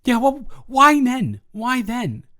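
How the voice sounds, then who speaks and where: A man's voice: very high-pitched, wildly swooping pitch, slow, bright, forceful tone, full character voice. A young man playing a lovestruck roommate in an intimate audio roleplay, earnest, rambling and stammering as he nervously confesses his feelings. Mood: mock indignant, fond. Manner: rambling, stammering, earnest, awkwardly self-deprecating.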